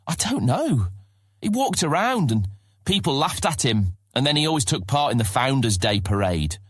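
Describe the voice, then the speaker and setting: Defiant young guildmaster. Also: male voice, medium pitch, very animated, normal speed, breathy edge, no echo, studio quality. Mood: unsure. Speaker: voice actor. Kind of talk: audio drama acting.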